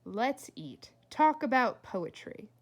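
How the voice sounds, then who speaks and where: A woman gives voice to a happy little male squirrel with a poet's heart. The storybook character speaks earnestly and with wonder, in lively, swinging intonation. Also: a little high, slow pace, full character voice. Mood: delighted.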